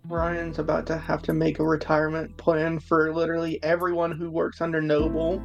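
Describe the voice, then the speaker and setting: A man's voice: a little high, completely flat delivery, brisk, gravelly, highs cut off, soft background music. A man playing a tabletop game, talking in casual banter.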